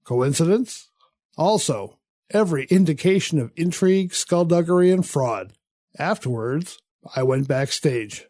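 A suave, suspicious theatre critic narrating a radio play. His voice is a little high and mellow, dry and close, with arch, pompous precision. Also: lively intonation, unhurried, dry recording. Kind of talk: radio drama narration in character.

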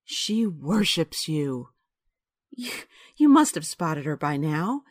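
An actress playing a frank, kindly and loyal playwright's wife in a radio drama, with an earnest, emphatic delivery. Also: female voice, sits low, big pitch swings, takes it slowly, dry recording, studio quality, heavily acted. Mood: exasperated, pleading. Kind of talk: radio drama acting and narration.

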